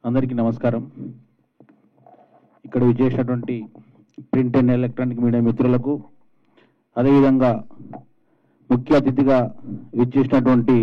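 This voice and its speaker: A man's voice: medium pitch, dry recording, highs cut off. A man with a Telangana Telugu accent giving an informal thank-you speech as a film producer, calling people out by name in a conversational way. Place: film trailer launch stage.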